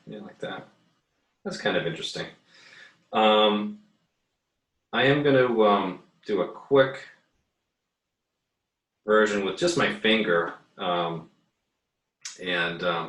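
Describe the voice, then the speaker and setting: A man giving a casual, unscripted tutorial as an art teacher, haltingly thinking aloud as he works. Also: low voice, a bit bright. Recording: echoey, roomy sound.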